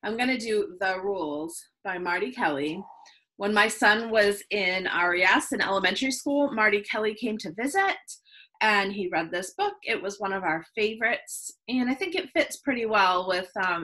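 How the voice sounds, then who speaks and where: A woman in her thirties reading a children's picture book aloud in slow, sing-song rhymes, recorded on a webcam at home. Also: mid-range voice, expressive, sharp tone. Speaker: children's read-aloud host. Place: home room with plants, indoors.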